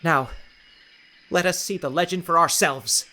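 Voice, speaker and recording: A man voicing an earnest, anxious gentleman explorer in an audiobook, speaking formally and stammering. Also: fairly high pitch, unhurried, slight background noise, pitched above the performer's own voice. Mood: shaky gratitude.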